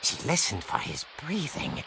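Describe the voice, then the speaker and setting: A man's voice: on the high side, huge pitch swings, moderate pace, noisy background. A man narrating a spooky comic-book tale in a theatrical, gleeful horror-host manner. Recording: loud music score behind.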